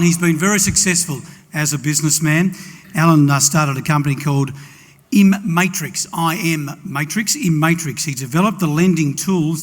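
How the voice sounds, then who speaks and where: A man hosting a seminar, introducing the guest speaker with many hesitations in an expo hall. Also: fairly high pitch. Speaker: event host introducing the speaker. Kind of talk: introductory remarks. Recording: reverberant hall.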